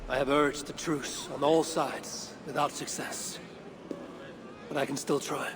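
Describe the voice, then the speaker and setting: Norse warrior, game drama, male actor: terse, earnest, plainspoken, fairly high for a man, noisy ambient background. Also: steady tone, a little slow, lightly acted. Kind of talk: dramatic video game acting.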